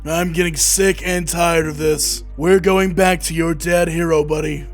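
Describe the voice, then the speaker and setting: A man's voice: fairly high pitch, steady tone, a little slow. Guy doing a cranky weary detective in a comic audio drama, drawn out delivery, music behind. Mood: fed up. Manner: irritable, blunt. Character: gruff overweight police detective, weary and threatening.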